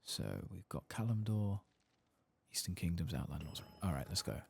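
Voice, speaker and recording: A man softly narrating his video game in ASMR style, tired and casual, muttering to himself and drifting into whispers. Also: low voice, very animated, moderate pace, gravelly. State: tired, late at night. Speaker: host of a game playthrough. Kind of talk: soft-spoken ASMR gameplay commentary. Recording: faint background noise.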